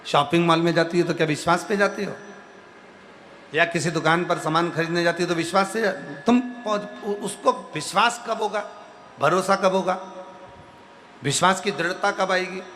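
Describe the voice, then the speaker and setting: A man preaching a devotional sermon to a large crowd, slow and emphatic, repeating key phrases. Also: high-pitched, fairly bright tone, slight background noise. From Central India. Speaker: preacher telling a scripture story.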